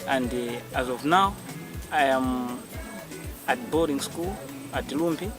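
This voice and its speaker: A young man with a Malawian English accent speaks haltingly, with plenty of fillers, as he answers interview questions. His voice sits at an ordinary middle pitch. Music plays clearly under him. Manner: hesitant, many fillers. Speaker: sponsored student. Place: outdoors in a village.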